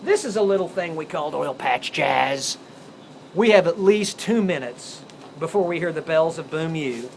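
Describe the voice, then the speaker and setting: A man's voice: a little high, expressive, normal speed. An American man hosting an amateur radio show, rambling off the cuff in a folksy, jokey way with theatrical flourishes. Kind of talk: rambling ad-libbed radio show commentary on news. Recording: clearly noisy recording with ambient sound.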